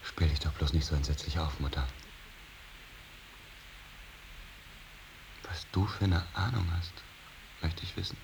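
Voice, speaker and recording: Cynical sulky young man in a radio play, really deep voice, insolent, slight hiss. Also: brisk, vocal fry. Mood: sullen, irritated. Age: young adult. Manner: mocking, dismissive, insolent. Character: sullen, cynical, defiant young bohemian son. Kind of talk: German radio drama acting.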